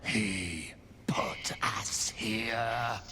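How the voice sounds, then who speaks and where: A man playing a tormented, bitter demon in a video game, whispering in halting fragments. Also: fairly low pitch, steady tone, pushed and bright, slight background noise, full character voice. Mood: pained, bitter. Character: tormented demon spirit, sharp, brooding, bitter. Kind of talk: video game character acting.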